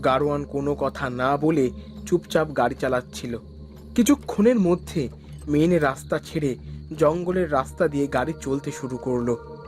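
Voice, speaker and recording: A man's voice: a little high, moderate pace, darkish tone, faint music behind. A man narrating a spooky cartoon story in the first person. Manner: stammers nervously when frightened. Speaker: narrator and lead character.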